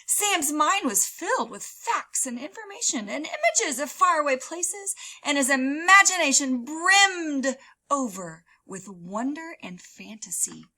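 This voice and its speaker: A woman reads a children's story aloud in a teacherly way. Her voice is fairly high and bright, swinging widely in pitch, with dramatic stretches on key words. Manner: expressive, dramatic, drawn-out emphasis on key words.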